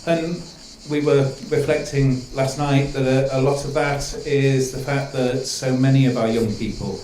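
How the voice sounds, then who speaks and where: Slow, flat-voiced male moderator. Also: medium pitch, reverberant, slight background noise, rough recording. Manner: measured, reflective, with ums. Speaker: panel moderator. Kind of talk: moderating a panel discussion.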